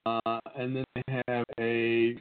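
The audio is narrow and phone-like. A man with a Southern US accent talks in a casual, conversational way as a podcast co-host. His pitch sits in an ordinary male middle range. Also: monotone, slow pace, clean tone, soft background music. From Southern United States. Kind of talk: casual tech tips chat.

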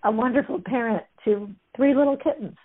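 A woman's voice: a little high, a little fast, breathy edge. A woman chattily retelling stories as a guest, amused, with many fillers. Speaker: guest discussing a book. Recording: tinny phone-like line.

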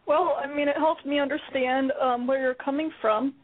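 A woman's voice: sits high, steady tone, moderate pace, no echo. A woman giving her thoughts on a play on a radio show, hesitant and full of ums. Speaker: playwright and guest. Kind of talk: casual radio discussion. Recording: dry phone line.

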